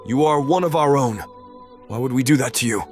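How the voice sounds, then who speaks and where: A man's voice: mid-range voice, fairly quick, lightly acted. A man playing a pompous, condescending owl politician with a menacing streak in an audio play. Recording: music behind the voice.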